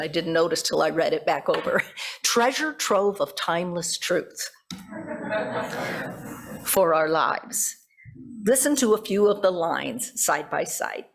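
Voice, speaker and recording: A woman delivers a sermon from the pulpit. She moves between prepared remarks and chatty asides to her congregation, correcting herself as she goes. Her pitch sits in a middle range for a woman. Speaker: pastor. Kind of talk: sermon, conversational with scripted passages. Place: church pulpit.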